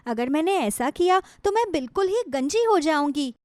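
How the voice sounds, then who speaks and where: A high, plaintive young woman from a cartoon moral tale, acted by a woman. She speaks earnestly and anxiously, as someone troubled. The recording is close and dry. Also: lively intonation, moderate pace, a little mellow, dry recording. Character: sad, anxious young woman with five braids. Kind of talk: Hindi moral story cartoon acting.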